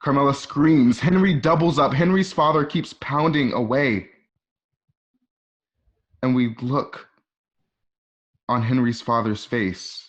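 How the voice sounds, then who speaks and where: A man's voice: medium pitch, slow pace, long pauses. A man reading scripted scene directions as the narrator of a radio play.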